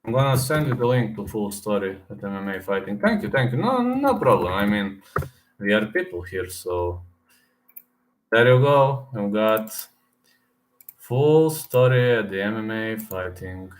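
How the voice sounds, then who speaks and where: A fairly low-voiced man with a Serbian accent hosts a relaxed live stream at his desk mic. He answers viewers in English as he goes, and he says things over several times with lots of "uh"s. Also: unhurried, a little hiss or hum. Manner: talks straight to chat, repeats words, many fillers. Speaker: livestream host reading chat. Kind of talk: casual live-stream chat about fight picks.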